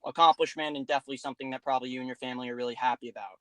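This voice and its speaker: A man's voice: medium pitch, fairly even intonation, racing, pushed and bright, smooth, dry recording. A teenage man hosting a casual podcast interview, upbeat with many "like"s. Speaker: podcast host.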